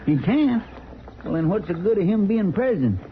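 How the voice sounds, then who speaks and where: A tinny, noisy old radio western recording carries a folksy American deputy sidekick. His rustic voice sits fairly high and swings about excitably as he drawls in homespun grammar. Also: moderate pace. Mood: indignant. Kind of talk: 1950s radio western acting.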